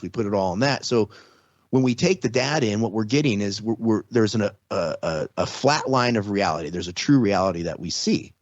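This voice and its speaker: A man's voice: mid-range voice, dry recording. Middle-aged guy on a podcast, earnest, explains stuff, restarts a lot, kinda muffled audio. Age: 40s or 50s. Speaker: podcast guest. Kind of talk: casual interview talk. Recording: slightly dull lo-fi audio.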